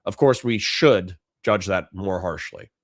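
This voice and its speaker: A man's voice: low-pitched, unhurried, dry recording. A middle-aged man hosting a casual livestream, reading chat messages and thanking supporters in a dry, sincere way with frequent restarts. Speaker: livestream host.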